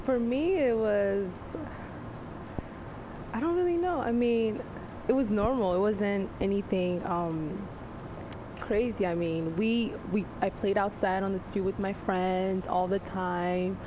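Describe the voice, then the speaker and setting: A woman's voice: mid-range voice, takes it slowly. A young woman from Congo chatting casually as a panel guest, loose and full of fillers. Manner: relaxed, chatty, lots of likes and ums. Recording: noisy room.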